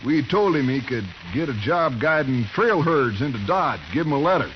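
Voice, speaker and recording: A man's voice: a little high, expressive, moderate pace, close to an everyday voice. An actor playing a bullying drifter gunman in a radio western, blunt and irritable. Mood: irritated. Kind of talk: 1950s radio western acting. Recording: muffled, faintly hissy old radio recording.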